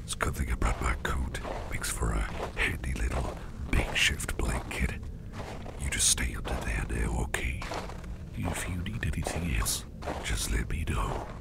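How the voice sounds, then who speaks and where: A man playing a caring, slyly warm boss in an ASMR roleplay, talking in a gravelly half-whisper with a rambling, folksy, reassuring manner. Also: extremely low pitch, moderate pace, full character voice. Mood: tender, reassuring. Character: caring, gruff-voiced boss, a man with sly, soothing warmth. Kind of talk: soft ASMR roleplay, addressing the listener.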